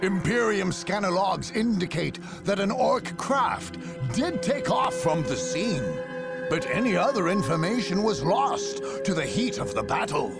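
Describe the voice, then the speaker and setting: Solemn war chronicler, gravelly, sweeping intonation, theatrical game narration, music behind. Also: male voice, high-pitched, big pitch swings, measured pace, heavily acted. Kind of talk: scripted dramatic narration.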